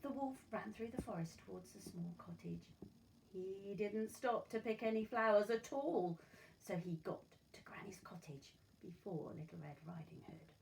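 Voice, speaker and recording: A woman reading a children's story aloud in an expressive, dramatic and deliberate narrating style. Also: medium pitch, vocal fry. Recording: voice far from mic, noisy room.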